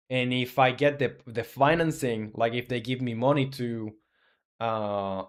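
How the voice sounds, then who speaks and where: A man in his twenties chatting casually on a live stream as a game developer, rambling and thinking aloud with many fillers while answering chat questions. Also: mid-range voice. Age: 20s.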